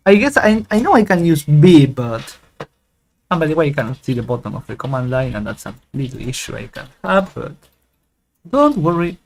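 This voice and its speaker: A man giving a casual, rambling software tutorial, joking and humming tunes as he goes. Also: on the high side, very animated, fairly quick, vocal fry, a little hiss or hum. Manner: playful, rambling, hums and sings tunes while waiting. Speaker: tech YouTuber. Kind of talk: casual screen-recorded tutorial.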